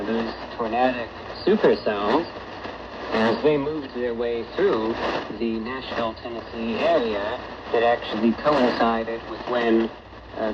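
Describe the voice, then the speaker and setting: A man's voice: mid-range voice, dull audio. Man hosting over very noisy shortwave radio. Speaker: shortwave radio show host. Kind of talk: radio broadcast reading listener check-ins and announcements.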